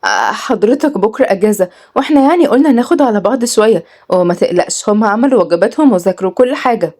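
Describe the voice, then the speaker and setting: A woman's voice: medium pitch, animated, very fast, a little mellow, lightly acted. A woman voicing a feisty, childlike young housemaid who is easily flustered, in an audio story.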